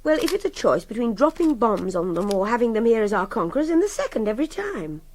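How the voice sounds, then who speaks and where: A defiant young English woman in a radio drama, articulate and hectoring. Her voice sits high and swings widely in pitch as she argues with impatient superiority. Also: sing-song, quick pace, a little mellow. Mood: exasperated, argumentative. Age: young adult.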